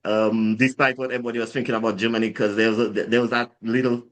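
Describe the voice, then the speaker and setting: A man's voice: mid-range voice, fast talker. A man from Saint Lucia with a Saint Lucian accent, a guest on a podcast interview, telling his story casually with many fillers and restarts, livening up as he recounts events. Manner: rambling, many fillers and restarts, animated when retelling. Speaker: guest, drinks brand founder. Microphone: podcast mic.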